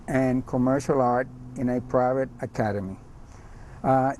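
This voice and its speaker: Older cuban guy, slow and hesitant, lots of ums, warm mellow voice. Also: mid-range voice. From Cuba. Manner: hesitant, many ums and uhs. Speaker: visiting artist. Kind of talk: casual spoken account.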